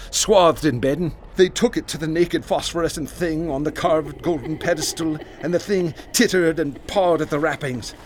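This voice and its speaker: A man's voice: a little high, a little hiss or hum, close to an everyday voice. An actor playing a haunted, traumatized man who recounts nightmarish horrors in a florid, intense, theatrical radio drama delivery. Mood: dread-filled awe. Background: music.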